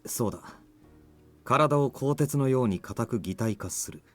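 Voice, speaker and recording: An actor voices a tall, cool male fighter in a visual novel game. He is self-assured and calm with a cocky edge, speaking briskly at a medium pitch over background music. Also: lightly acted. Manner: calm, cocky, unhurried by danger. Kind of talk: Japanese visual novel voice acting. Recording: music under the voice.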